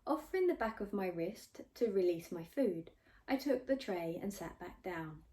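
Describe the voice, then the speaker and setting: A woman reads a story aloud as its author and narrator. Her delivery is scripted and expressive, in a medium-pitched voice suited to a first-person audiobook. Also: normal speed. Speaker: author reading own novel aloud.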